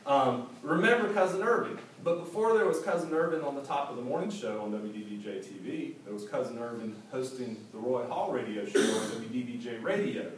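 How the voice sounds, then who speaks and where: A man in his forties, an author reading from his own book to an audience in a school hall, telling it in a lively, humorous way with asides. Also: mid-range voice, a little fast, few pauses. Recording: echoey hall, distant mic, faint hiss.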